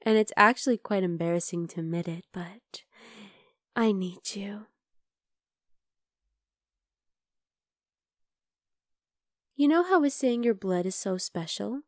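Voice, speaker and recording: A woman's voice: mid-range voice, lively intonation, normal speed, no room sound, studio quality, natural voice. A woman playing an obsessive, chatty vampire lab worker in an ASMR roleplay, coaxing and teasing the listener. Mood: possessive, intent. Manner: conversational, teasing, coaxing, with soft asides.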